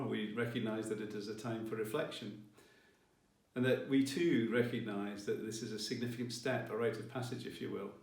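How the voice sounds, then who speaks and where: A man giving a formal ceremonial address as a college leader, with measured, deliberate phrasing. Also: lowish voice, darkish tone. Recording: roomy, distant mic, faint music behind.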